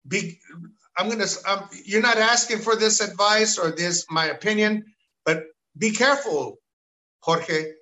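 A man holds forth on a podcast. His voice is high for a man and bright, almost sharp. He talks with emphatic energy and slips into Spanish. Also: a little slow, low-quality recording. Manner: animated, emphatic, mixes Spanish into English, lots of "you know". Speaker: podcast host. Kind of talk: casual podcast chat.

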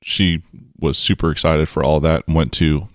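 Deep voiced dude hosting a chatty podcast, slangy, sounds dry and close up. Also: male voice, fairly even intonation, normal speed, dry recording. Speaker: podcast host. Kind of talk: casual chat.

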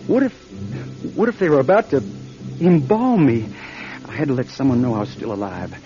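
An actor playing a scared, suspicious young man in a radio drama, speaking in an anxious, halting stammer. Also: mid-range voice, huge pitch swings, mellow. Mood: dread, halting recollection. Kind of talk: audio drama acting, first-person narration and dialogue. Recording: dull old radio drama, music behind.

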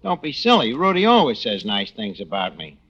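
An actor playing a brash, scheming tavern manager with a New York accent and slangy, faux-genteel fumbling speech, in 1940s radio comedy style. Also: male voice, mid-range voice, huge pitch swings, a little fast, fairly bright tone. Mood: smug, flirtatious. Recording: noisy dull vintage radio recording.

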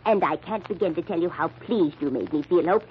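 A woman's voice: lowish voice, fairly quick, natural voice. An actress playing a steely, sardonic middle-aged mother in an old radio drama style. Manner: commanding, sardonic. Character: steely, cool, commanding matriarch of rich family. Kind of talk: 1940s radio acting. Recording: dull, noisy old radio recording.

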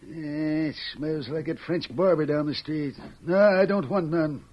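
An actor playing a gruff, impatient small-town sheriff with folksy American frontier speech in an old-time radio western. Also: male voice, on the high side, measured pace. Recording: dull, noisy vintage radio recording.

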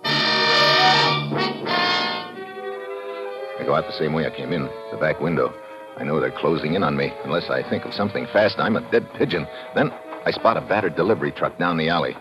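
An actor with an American accent playing a tough, slangy, wisecracking agent in an old radio detective drama. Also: male voice, rumbling low, wildly swooping pitch, brisk, loud music behind the voice, full character voice. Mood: urgent. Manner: hard-boiled, slangy, clipped. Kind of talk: old-time radio detective acting and narration. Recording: muffled noisy old radio broadcast recording.

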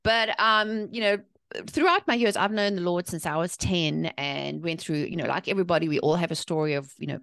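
A woman's voice: fairly low pitch, a little fast, vocal fry, a bit bright, clean tone, no echo. A middle-aged woman from South Africa with a South African accent, a podcast guest telling her story candidly and with animation. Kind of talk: conversational interview.

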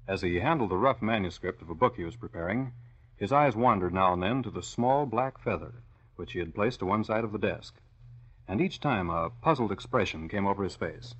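An actor as a serious narrator reading scripted narration in an old-time radio drama. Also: male voice, fairly low pitch, animated, brisk, a bit bright, breathy edge. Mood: measured, serious. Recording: dull old radio recording, music behind.

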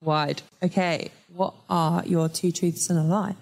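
A woman's voice: on the low side, steady tone, unhurried, creaky, a little mellow. Bubbly woman hosting a podcast. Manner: bubbly, laughs often. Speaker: podcast host. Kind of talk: casual chat with scripted intro. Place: recording studio.

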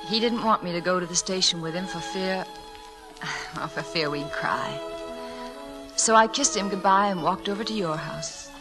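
An actress playing a gentle, earnest woman schoolteacher in theatrical, sentimental 1940s radio drama style. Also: fairly low pitch, moderate pace, music in the background, close to an everyday voice, low-quality recording. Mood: reminiscing. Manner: tender, earnest, theatrical delivery.

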